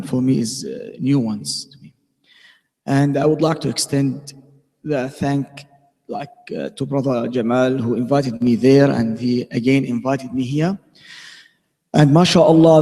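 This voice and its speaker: A man from Syria with a Syrian Arabic accent gives an informal, explanatory community lecture in halting English as a native Arabic speaker. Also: mid-range voice, dark tone. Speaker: guest speaker, pharmacist and school teacher. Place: mosque hall. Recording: echoey hall with long reverb.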